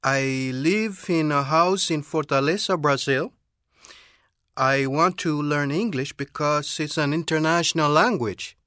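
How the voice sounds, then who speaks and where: An actor playing a friendly eighteen-year-old male student, speaking slowly and carefully in a scripted language-course dialogue. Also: fairly high pitch, bright, forceful tone, no echo, clean studio sound. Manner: slow, careful, clearly spoken. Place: dry studio.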